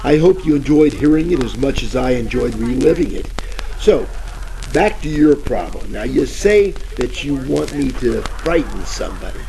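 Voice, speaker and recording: A man's voice: mid-range voice, lively intonation, normal speed, vocal fry, warm tone. An actor playing a bumbling, jittery private detective in a 1940s radio parody, rambling and stammering through tough-guy slang over crackly static. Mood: smug, chatty.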